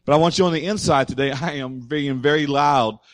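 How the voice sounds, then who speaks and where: A man preaching a sermon as pastor, slightly hoarse, speaking loudly and emphatically and calling out to the congregation. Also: fairly high pitch, fairly quick, no echo. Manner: loud, emphatic preaching with audience call-outs. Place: church.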